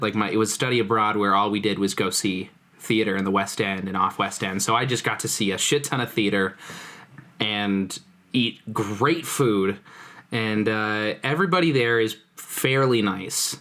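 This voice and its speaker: A man's voice: on the low side, moderate pace, bright, forceful tone. A young man co-hosting a podcast in casual chat, who laughs often and keeps restarting his sentences as he tells a story. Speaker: podcast co-host.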